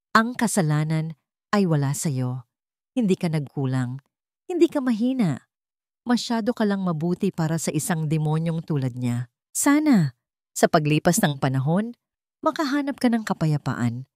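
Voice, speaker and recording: A woman's voice: fairly low pitch, dry recording, studio quality, close to an everyday voice. A woman voicing a remorseful jailed mistress confessing earnestly in a letter, read as dramatic storytelling. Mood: remorseful, consoling.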